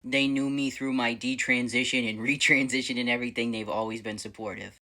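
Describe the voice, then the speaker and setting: A man in his thirties with a fairly high voice, telling personal stories to camera in a bubbly, animated way as he answers a viewer's question. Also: brisk. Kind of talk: casual personal storytelling to camera.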